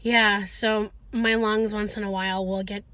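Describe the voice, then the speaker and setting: A woman talking casually to viewers in a chatty, animated video diary, often interrupting herself. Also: medium pitch, measured pace, no room sound. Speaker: vlogger, school teacher.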